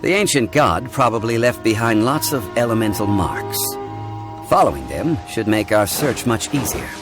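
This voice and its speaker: An actor voicing a calm, learned gentleman in a video game, speaking in a measured, formal, explanatory way. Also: fairly low pitch, music in the background, close to an everyday voice. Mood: composed, polite.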